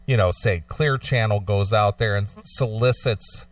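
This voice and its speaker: Low-voiced male radio co-host. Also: a little slow, dry recording, far from the mic. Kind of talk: reading news aloud and chatting about it.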